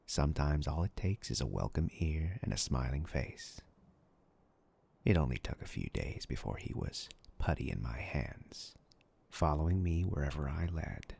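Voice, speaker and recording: A man voicing a sinister, arrogant demon in a dark roleplay monologue, taunting and seductively menacing, with a husky, growling voice. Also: extremely low pitch, animated, exaggerated voice. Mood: sly relish. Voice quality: gravelly, husky, breathy growl. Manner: slow, taunting, theatrical.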